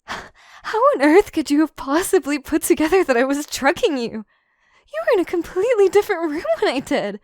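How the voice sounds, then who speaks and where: A woman's voice: sits high, sing-song, no room sound, clean studio sound. A woman playing an obsessive, scheming yandere assistant in an audio roleplay, speaking in formal, theatrical phrases to the listener. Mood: shocked, bitter. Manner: theatrical, formal phrasing, slow and deliberate, dropping into whispers. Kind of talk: scripted audio roleplay, intimate monologue to listener.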